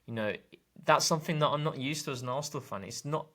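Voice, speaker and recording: A man's voice: fairly high pitch, fast, pushed and bright. A man speaking as a podcast guest and football fan, informal and discursive, repeating words as he thinks aloud.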